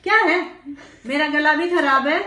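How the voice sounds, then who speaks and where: A woman's voice: high voice, a little slow, bright, forceful tone. A woman in her forties, a mother vlogging casually on a sofa at home, teasing and laughing as she talks to viewers, with a cold and a sore throat. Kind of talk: casual family vlog chat. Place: living room, indoors, on a sofa. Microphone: fixed camera a few feet away. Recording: echoey room.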